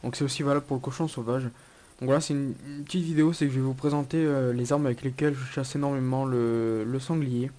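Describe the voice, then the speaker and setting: A man's voice: mid-range voice, steady tone, fast, mellow. A young man with a cold, giving a casual gameplay tutorial with many hesitations and restarts. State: has a cold. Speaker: gaming YouTuber.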